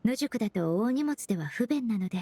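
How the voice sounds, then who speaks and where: Soft breathy game perfumer, polite and measured, really dry close sound. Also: female voice, a little high, lively intonation, no echo. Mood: polite, easygoing. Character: composed, polite young woman perfumer, analytical and precise. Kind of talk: anime game voice acting.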